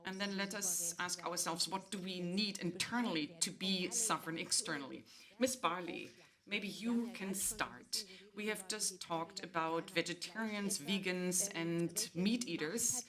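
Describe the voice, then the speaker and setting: A woman moderating a panel discussion, introducing each guest at length before opening the debate. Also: on the low side.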